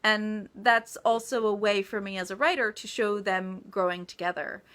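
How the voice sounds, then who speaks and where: Female author for casual live Q&A: bright, forward voice at medium pitch, chatty and emphatic. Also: fairly even intonation, moderate pace. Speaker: author answering reader questions. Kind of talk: casual livestream Q&A chat.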